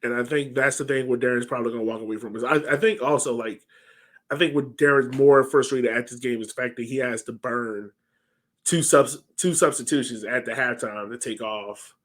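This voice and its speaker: A man's voice: mid-range voice, steady tone, brisk, a bit bright. A man in his thirties co-hosting a casual sports discussion over a video call, speaking from a room at home. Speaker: podcast co-host.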